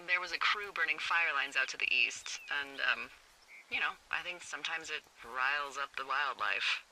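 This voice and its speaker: A woman's voice: low voice, expressive, normal speed, sharp tone, slight background noise, full character voice, poor audio. A woman with an American accent playing a wry, flirtatious fire lookout in a video game, talking candidly over a two-way radio. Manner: wry, teasing, conversational.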